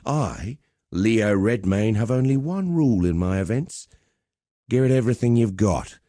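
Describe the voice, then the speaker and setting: A man's voice: on the low side, expressive, measured pace, dark tone, dry recording, studio quality. A man playing a swaggering, cheerful arena fight promoter in a video game, with theatrical, chummy, slangy patter. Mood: charming showman. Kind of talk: video game character voice lines.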